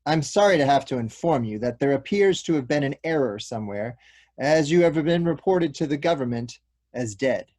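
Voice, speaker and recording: A man's voice: mid-range voice, lively intonation, normal speed, darkish tone, close to an everyday voice. A man playing an earnest, slightly awkward police detective in a comic play read aloud. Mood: formal, questioning. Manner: formal, apologetic. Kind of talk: table-read stage comedy acting.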